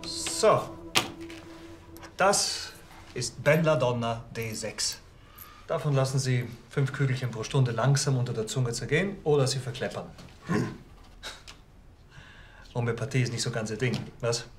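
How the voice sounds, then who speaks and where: An actor playing a naturopath in a TV crime comedy, chatting and explaining in an unhurried way. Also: male voice, mid-range voice, noisy background, natural voice. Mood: soothing, breezy. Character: breezy, soothing male naturopath treating a patient. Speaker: alternative practitioner.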